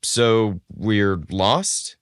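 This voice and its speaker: A man voicing an excitable young hiker in a story, full of exclamations and eager, dude-ish enthusiasm. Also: lowish voice, drawn-out words, smooth, no room sound, studio quality. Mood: worried. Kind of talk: dramatized story narration.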